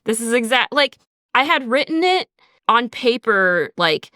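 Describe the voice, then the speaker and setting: A woman's voice: on the high side, big pitch swings, unhurried, sharp tone, no echo, clean studio sound. A woman co-hosting a podcast, explaining things in a casual, conversational way and often saying "like". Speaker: audio drama writer, podcast co-host.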